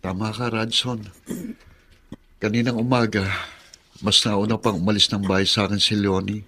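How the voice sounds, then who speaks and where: Casting: a troubled young Filipino married man, husky, lowish, downcast radio-soap delivery. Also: flat delivery, moderate pace, pushed and bright. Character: troubled young husband, subdued mama's boy. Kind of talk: Filipino radio drama acting.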